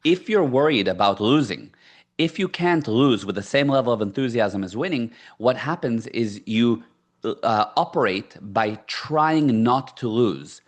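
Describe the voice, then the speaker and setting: A man's voice: medium pitch, expressive, measured pace. Emphatic South African-born man, Hebrew native. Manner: emphatic, repeats key phrases. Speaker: guest, entrepreneur and coach. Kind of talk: casual podcast interview.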